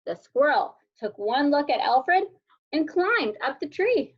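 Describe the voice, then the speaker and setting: A woman reading a children's picture book aloud as a storyteller, with expressive, deliberate delivery. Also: high voice. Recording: dull lo-fi sound.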